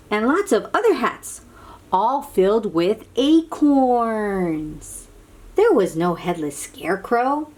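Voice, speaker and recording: A woman reading a picture book aloud to young children, dramatically, with asides and questions to the listeners. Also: on the high side. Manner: expressive, drawn-out, dramatic delivery with hushed moments.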